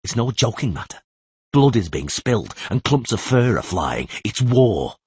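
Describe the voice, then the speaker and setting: Bitter, husky wounded monster hunter played by a man. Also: lowish voice, very animated, darkish tone, dry recording, heavily acted. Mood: cold sarcasm. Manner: slow, bitterly sarcastic. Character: wounded male monster hunter, bitter, sardonic, cold anger.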